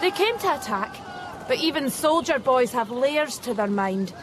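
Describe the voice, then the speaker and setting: High-voiced eerie alien child. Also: female voice, expressive, normal speed, noisy background. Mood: coolly menacing. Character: eerie alien replica of a child, coolly menacing daughter. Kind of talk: radio drama acting.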